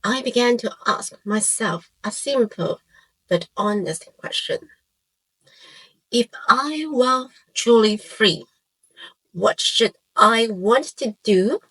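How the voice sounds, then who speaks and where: A woman in her forties from Hong Kong, a native Cantonese speaker with a Hong Kong accent, narrates a scripted, reflective piece in measured phrases with short pauses. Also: medium pitch, fairly bright tone, slightly rough, rough recording. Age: 40s. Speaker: YouTube channel creator and host.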